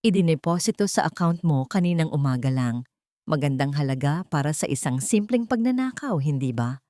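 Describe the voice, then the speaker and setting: A cold male security chief played by a woman in an audio drama, unhurried and mocking. The voice is recorded close and dry, with a calm, insulting menace. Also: deep voice, no echo. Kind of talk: dramatized audio story dialogue.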